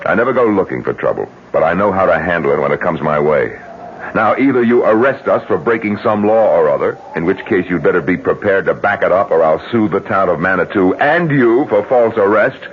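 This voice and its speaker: A man's voice: low voice, animated, fairly quick. An actor playing a tough, self-assured but generous man in a 1970s radio drama, blunt in manner and sometimes hesitant. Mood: tough, defiant. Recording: dull, slightly noisy 1970s radio broadcast.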